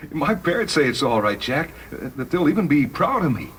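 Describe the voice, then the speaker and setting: A man playing an excited, starry-eyed boy who dreams of being a spaceman, speaking breathlessly and insistently. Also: on the high side, a little slow. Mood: boastful glee. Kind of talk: dramatized short story acting. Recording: noisy vintage radio drama recording.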